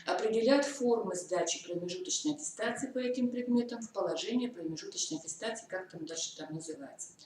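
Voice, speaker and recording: A woman's voice: mid-range voice, fast talker, hardly any pauses, a little mellow. A woman from the Komi Republic giving a methodical teacher-training lecture, walking through points step by step with hesitant fillers. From Komi Republic, Russia. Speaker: lecturer on school curriculum. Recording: echoey hall from far off, faint noise.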